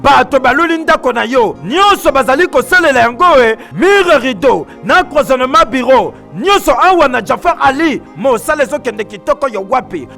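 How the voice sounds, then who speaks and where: A man's voice: very high voice, animated, moderate pace, vocal fry, hardly any pauses, pushed and bright, faint music behind. A man, delivering a hyped, sing-song advertisement. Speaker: ad announcer. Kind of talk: radio-style advertisement.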